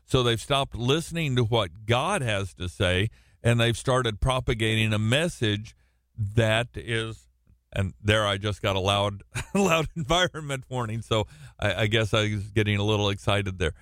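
Slow, loud-voiced male radio preacher. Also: on the low side, dry recording, studio quality. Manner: loud projecting voice with frequent restarts. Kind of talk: sermon teaching. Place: radio studio, alone.

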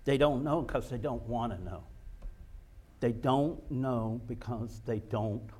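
A man preaching a sermon, reading scripture slowly and pointedly, then explaining it in casual asides and repeating key words for emphasis. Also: mid-range voice, a little mellow, husky. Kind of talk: sermon, Bible teaching.

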